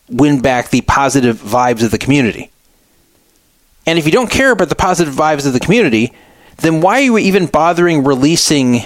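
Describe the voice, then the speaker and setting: Male, middle-aged talk radio host. Mid-range voice, opinionated and emphatic solo commentary, deliberate phrasing, very dry recording. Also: medium pitch, dry recording.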